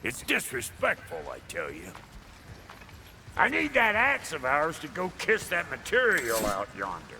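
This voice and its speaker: Gravelly, wildly animated dwarf blacksmith. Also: male voice, on the high side, unhurried, a little hiss or hum, full character voice. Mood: exasperated. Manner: folksy, brash, colorful grumbling. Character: gruff, foul-mouthed, excitable male dwarf blacksmith. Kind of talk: video game cutscene acting.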